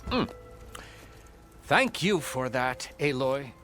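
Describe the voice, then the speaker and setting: A measured, formal magistrate. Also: male voice, mid-range voice, sing-song, fairly bright tone, soft background music. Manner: formal, measured, dryly amused. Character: stern, weary male town magistrate. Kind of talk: video game cutscene acting.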